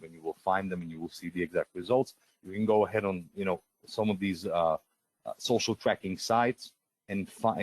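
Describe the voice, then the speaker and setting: A middle-aged man whose first language is Russian presents software on a live sales webinar, walking through features in an eager pitch full of fillers and restarts. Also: no room sound. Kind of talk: live sales webinar, screen-share walkthrough.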